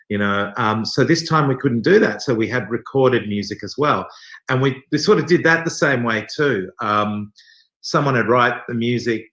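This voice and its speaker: Low, bright-voiced Australian man. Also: rough recording. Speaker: theatre company director being interviewed. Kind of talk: casual interview conversation.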